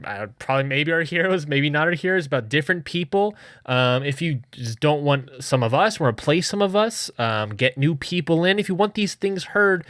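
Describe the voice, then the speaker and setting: A man's voice: a little high, expressive, moderate pace, a bit bright. A man hosting a podcast, addressing listeners casually in an emphatic, pleading way and often repeating himself for stress. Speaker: podcast host.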